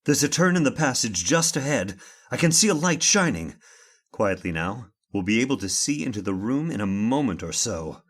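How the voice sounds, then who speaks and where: Guy playing a bold take-charge investigator, formal, lively up and down voice, old radio mystery. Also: medium pitch, moderate pace. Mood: hushed. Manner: authoritative, formal. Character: bold, determined male investigator, commanding and inquisitive. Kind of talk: old-time radio drama acting.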